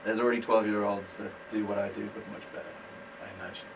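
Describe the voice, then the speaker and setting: A man's voice: on the low side, little pitch movement, fast, gravelly, recorded from a distance, noisy background. A British man, a business-owner guest on a radio talk show, explaining his work in a friendly, self-deprecating way. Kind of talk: casual radio interview.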